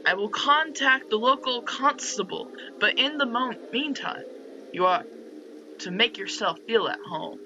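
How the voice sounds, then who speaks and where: A man's voice: very high-pitched, lively intonation, a little slow, highs cut off, noisy background, voice pushed up. A man voicing a concerned, kindly aging priest in a visual novel, solemn and reassuring.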